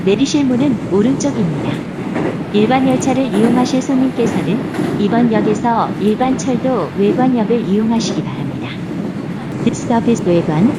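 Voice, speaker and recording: A woman's voice: on the high side, lively intonation, normal speed. A woman's synthetic text-to-speech voice reading formal, polite automated station announcements. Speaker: train announcer. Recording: loud train carriage noise. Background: train running and rail noise.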